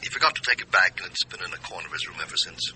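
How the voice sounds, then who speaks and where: Radio drama lawyer: piercingly bright tone, formal, careful, slightly hesitant. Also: male voice, low-pitched, sing-song, rapid-fire, a little hiss or hum, full character voice, rough recording. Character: cautious, formal male lawyer reporting by telephone.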